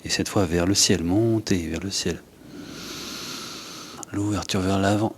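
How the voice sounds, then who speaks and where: A man with a low-pitched voice teaches yoga and breathwork. He gives short, unhurried instructions and leaves pauses between them. A faint low rumble runs behind his voice. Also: fairly even intonation.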